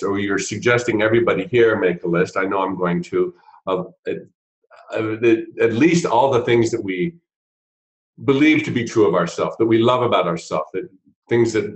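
A middle-aged man with a fairly low voice hosts an interview over a webcam. He talks in a measured, thoughtful way, with a playful edge. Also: poor audio. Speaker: host. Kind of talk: interview questions. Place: home room, indoors, video call. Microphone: video call webcam.

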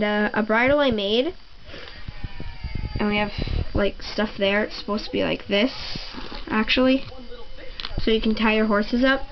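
Young girl, slow and rambling, a bit high, dull home-camera sound, faint hiss. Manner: slow, rambling, with many ums and pauses. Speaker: toy barn tour guide. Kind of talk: casual home video narration. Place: bedroom at home. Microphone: handheld camera mic.

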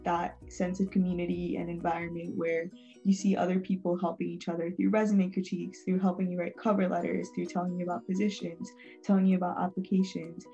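A young woman from Venezuela, an engineering student, answering interview questions calmly over a video call. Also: on the low side, lo-fi sound. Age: young adult.

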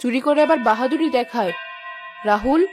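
A woman's voice: a little high, brisk, darkish tone, natural voice. A woman voicing a bossy, greedy village mother-in-law who scolds and accuses in a children's cartoon. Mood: angry, accusing. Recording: music behind the voice.

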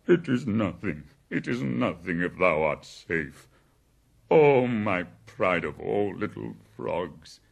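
A man's voice: sits low, takes it slowly, gravelly, heavily acted. A man voicing a big, battered old male bear, tender-hearted and grave, effusive in archaic storybook speech. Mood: tender, emotional.

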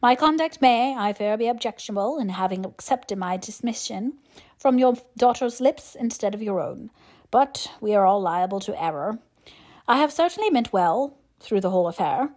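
A woman's voice: medium pitch, lively intonation, moderate pace, darkish tone, natural voice. A woman voicing a pompous, stiffly formal young clergyman in an audiobook, speaking in a stately, long-winded manner. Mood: stiff displeasure. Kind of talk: audiobook character voice.

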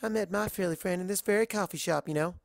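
Narrator voicing a higher-pitched, friendly waitress mare. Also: fast talker, vocal fry, no echo, clean studio sound, lightly acted. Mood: reassuring, chatty. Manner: gentle, chatty, encouraging.

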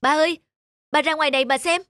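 A woman voicing a caring grown daughter in a cartoon, gentle and coaxing. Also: high-pitched, steady tone, fast. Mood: eager. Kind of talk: cartoon dubbing.

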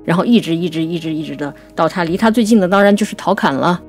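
A woman co-hosting a conversational talk on classical poetry, explaining things and reciting verse slowly. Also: lowish voice, soft background music. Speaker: writer and co-host.

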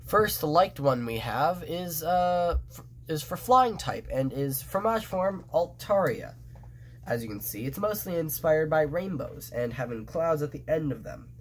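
A man's voice: fairly high pitch, animated, unhurried, slight background noise. A man with a stuffed, nasal nose casually talks through his drawings at a table, rambling with many restarts and fillers. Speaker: fan artist presenting his drawings. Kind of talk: casual show-and-tell commentary.

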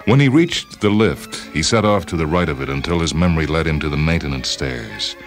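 A man's voice: extremely low pitch, lively intonation, fairly quick. A man hosting and reading short stories as scripted literary narration. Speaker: host and story reader. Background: music and sound cues.

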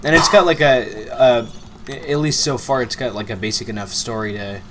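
A man's voice: mid-range voice, normal speed, noisy background. A slightly nasal young man chatting casually as a co-host beside someone playing a game, with lots of fillers and restarts. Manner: talkative, repeats words, filler-heavy. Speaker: let's play co-host. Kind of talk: casual gameplay chat.

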